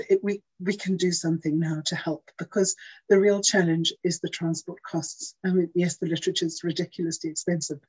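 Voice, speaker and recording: Lady, breathy voice, measured earnest talk over a video call. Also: lowish voice, warm tone, rough recording. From South Africa. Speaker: outgoing intergroup chair giving a service talk. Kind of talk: prepared speech on a video call.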